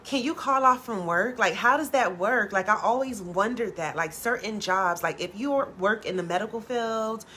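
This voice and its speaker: A woman who is a tarot reader, hosting a casual live stream and chatting with viewers in a soft, low voice, with lots of "like" and casual swearing. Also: moderate pace, hardly any pauses, slight background noise. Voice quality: low natural voice.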